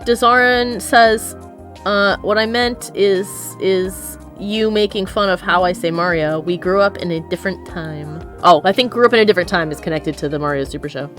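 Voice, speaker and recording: A woman's voice: fairly low pitch, moderate pace, fairly bright tone, soft background music. A woman hosting a live stream, chatting casually, reading comments from chat aloud and laughing often. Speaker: streamer host.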